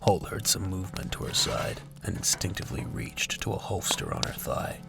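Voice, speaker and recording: Super deep gravelly guy narrating a dramatic story, music behind him. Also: big pitch swings, moderate pace.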